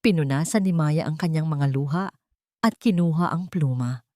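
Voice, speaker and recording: Female storyteller, low register, dry close sound, solemn and measured delivery for emotional drama. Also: a little mellow, no room sound. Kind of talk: dramatic scripted audio story narration.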